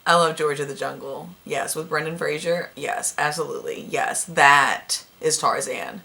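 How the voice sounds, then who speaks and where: Raspy, low-pitched woman chatting casually. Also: normal speed, sharp tone. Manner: chatty, gushing, thinks aloud with fillers. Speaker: vlogger. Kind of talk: casual chat listing favorite movies.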